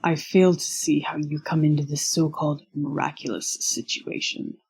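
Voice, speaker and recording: An actor playing a stiff, earnest young male student in an audio drama, with a formal, precise way of speaking and a husky voice. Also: medium pitch, little pitch movement, a little mellow, low-quality recording. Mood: dry disbelief. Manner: formal, measured, precise wording without contractions. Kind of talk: audio drama acting of fan fiction.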